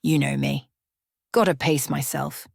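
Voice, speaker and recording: A woman's voice: low-pitched, steady tone, unhurried, vocal fry, a little mellow, dry recording, clean studio sound, voice pushed down, full character voice. A woman voicing a carefree, sly male vampire rogue who looks mid-twenties, teasing and nonchalant, in a bedtime story.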